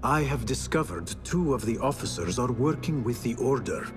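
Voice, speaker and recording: A man's voice: mid-range voice, expressive, normal speed, music in the background, lightly acted. Young assassin hero, male actor: mid-range voice, terse, resolute, for game cutscenes. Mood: serious, intent. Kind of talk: dramatic video game acting.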